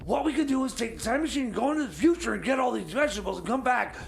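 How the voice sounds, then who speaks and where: A man playing a scheming, exasperated prepper mechanic in a comedy radio sketch, with hesitant, repetitive sales patter and a creaky voice. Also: very high-pitched, lively intonation, racing, full character voice.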